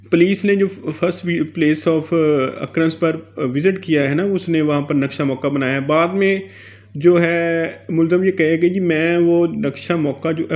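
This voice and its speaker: A man teaching law informally, point by point, mixing in English terms and often adding little check-in tags. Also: on the high side. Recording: dull lo-fi sound.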